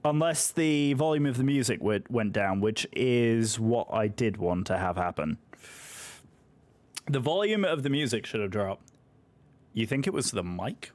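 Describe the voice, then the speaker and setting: A British man, hoarse from a voice that is still recovering, chatting casually and effusively with viewers on a live stream. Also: medium pitch, expressive, measured pace, darkish tone. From Britain.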